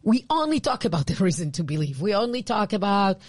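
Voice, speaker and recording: A woman with an Israeli accent, co-hosting a podcast and explaining branding in a casual, animated way. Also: mid-range voice, a little fast, dry recording. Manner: animated, restarts often, laughs easily. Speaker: podcast co-host, branding expert.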